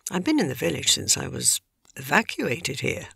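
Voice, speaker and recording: A woman playing a loyal, flustered older village housekeeper with a raspy, creaky voice, in a podcast drama. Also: deep voice, big pitch swings, normal speed, sharp tone, dry recording, studio quality, exaggerated voice. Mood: earnest.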